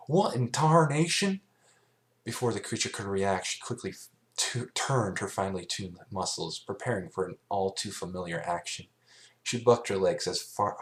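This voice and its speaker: A man with a low voice narrates a story from a script. He reads as an amateur would, haltingly, sometimes tripping over a word and picking it up again. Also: a bit bright. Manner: halting reading with stumbles over words. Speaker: amateur fan fiction reader.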